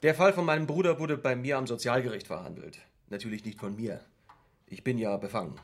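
Rigid middle-aged father played by a man, rough husky voice, indignant and halting, naturalistic radio drama. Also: medium pitch, close to an everyday voice. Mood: stiff.

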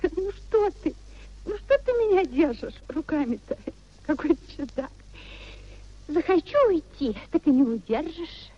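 Pouting, money-minded young wife in vintage radio theatre, mocking sing-song jibes, very lively high pitch. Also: female voice, a little slow. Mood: coquettish, dismissive. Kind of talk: 1950s Russian radio theatre acting. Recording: muffled 1950s radio play recording.

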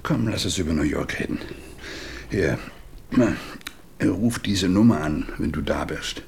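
An actor playing a coarse, scolding crime boss who hands out jobs to a hired killer in a radio drama. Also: male voice, low voice, expressive, a little slow, gravelly, darkish tone, a little hiss or hum, full character voice. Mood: businesslike.

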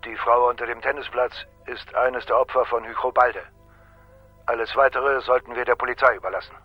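An actor playing a stern, imperious boss, curt and formal, on a muffled phone call in a radio crime drama. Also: male voice, mid-range voice, steady tone, a little fast, slightly rough, faint music behind, natural voice. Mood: cold, commanding. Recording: muffled, band-limited telephone call.

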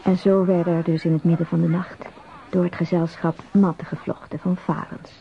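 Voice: medium pitch, little pitch movement, a little fast, creaky, a little hiss or hum. A narrator telling a scripted story in a radio play. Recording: dull radio play recording.

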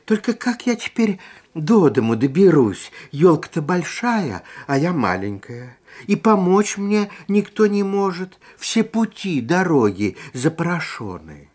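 A woman voicing a small, polite, trusting schoolgirl in a childlike, expressive way for a radio fairy tale. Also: lowish voice, measured pace, slightly rough, full character voice. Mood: grateful, worried.

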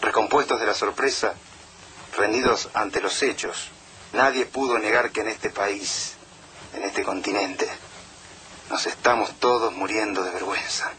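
A man's voice: fairly low pitch, steady tone, measured pace, pushed and bright, a little hiss or hum, rough recording. A man solemnly reciting a literary text.

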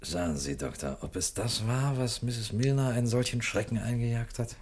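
An actor playing a businesslike, puzzled police inspector in a radio play, questioning things in a deliberate way. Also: male voice, mid-range voice, a little hiss or hum, lightly acted. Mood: pondering. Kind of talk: German radio play acting.

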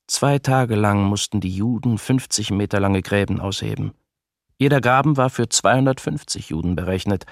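Low gravelly German soldier. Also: male voice, dry recording, clean studio sound. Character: male German soldier recounting killings, shaken, sober. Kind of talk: documentary radio play monologue.

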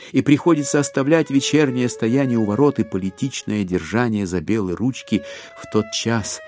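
A man narrating a literary audio drama in a measured, expressive storytelling manner with deliberate pauses. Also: mid-range voice. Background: music with violin.

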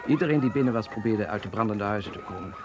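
Noisy radio play recording of a man acting a fervent refugee socialist. His low voice is earnest and bitter, pressing each statement with emphasis. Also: fast, highs cut off, natural voice. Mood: bitter, grieving.

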